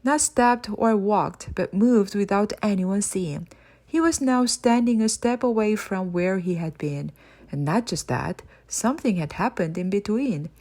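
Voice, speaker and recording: Woman reading a kids audiobook, expressive storyteller, mid pitched voice. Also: measured pace. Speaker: audiobook narrator. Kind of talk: expressive scripted children's story narration.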